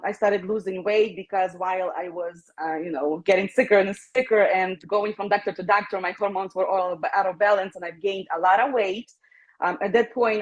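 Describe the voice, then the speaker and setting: A woman from Ukraine presenting an informal personal testimonial over a dull, lo-fi online call. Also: mid-range voice. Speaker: presenter giving a testimonial and introducing the next speaker.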